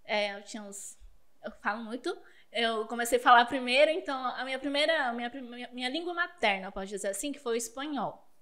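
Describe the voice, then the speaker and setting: Woman, high voice, casual podcast guest, storytelling, laughs often. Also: expressive, brisk, pushed and bright. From Paraguay border region.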